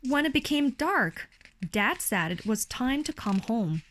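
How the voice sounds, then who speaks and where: A woman with a high voice and a Chinese accent reads a picture book to a child at an unhurried pace. Her storytelling is animated and swings widely in pitch. There is faint noise behind her. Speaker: parent reading a bedtime story to a child. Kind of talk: expressive read-aloud of a children's picture book.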